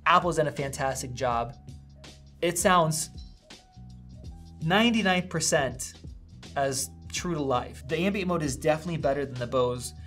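A man's voice: a little high, moderate pace, pauses often, a bit bright, soft background music. A man in his thirties casually reviewing headphones, thinking aloud as he tries them on at a desk in a small studio room. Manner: upbeat, conversational, thinking aloud while testing. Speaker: tech reviewer. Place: small indoor studio room with a vent running.

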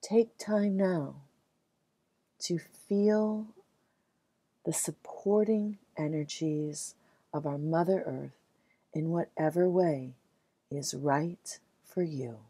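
A woman leading a guided meditation in a soothing, gentle, measured way, leaving long pauses between phrases. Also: low voice, breathy edge, no echo.

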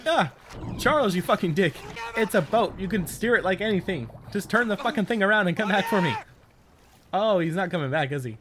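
A man giving casual, profane and excitable game commentary, talking straight to the character he is playing. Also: high-pitched, a little fast, noisy background. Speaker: gaming video host. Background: game audio of boat and water.

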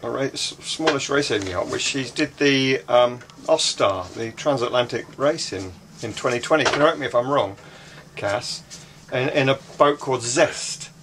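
An older man in his sixties talks drily to a camera held close in a small boat cabin, stopping and restarting as he sets the record straight. A clear hiss of background noise sits under his voice. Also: mid-range voice. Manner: dry, correcting, halting with restarts. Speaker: sailing vlog co-host. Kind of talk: casual chat to camera. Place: inside a small yacht cabin. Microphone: handheld action camera close to face.

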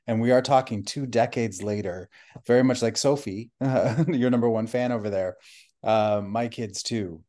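Middle-aged man, soft dark tone, slightly low, casual chat, video call. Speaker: audience member. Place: indoor room, video call.

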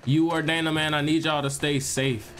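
A man's voice: on the high side, moderate pace. Stuffy-nosed young man joking with viewers. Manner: animated, joking, talks to viewers. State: stuffed-up nose. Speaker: gaming streamer. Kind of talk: casual gameplay commentary.

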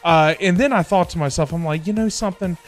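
A middle-aged man chatting casually on a livestream in a halting, repetitive, informal way. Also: on the high side. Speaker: livestream host. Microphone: close desk microphone. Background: background music.

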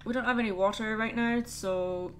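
A woman's voice: on the high side, steady tone, normal speed, a bit bright, slight background noise. A young woman chatting casually and thinking aloud while playing a game. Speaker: let's play host. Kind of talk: casual gameplay commentary.

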